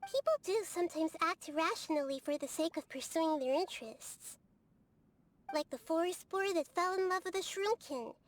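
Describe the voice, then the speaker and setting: Game role: tiny wise child goddess, extremely high voice, earnest, gently inquisitive, over music. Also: female voice. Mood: musing. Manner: soft-spoken, thoughtful, quizzical, speaks in animal analogies. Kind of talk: video game character dialogue.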